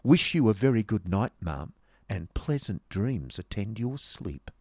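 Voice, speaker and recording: A man's voice: lowish voice, very animated, unhurried, darkish tone, dry recording, clean studio sound, full character voice. A man voicing a pert, vain young woman with an affected, mockingly polite manner in a novel reading. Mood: taunting. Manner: affected, taunting, prim.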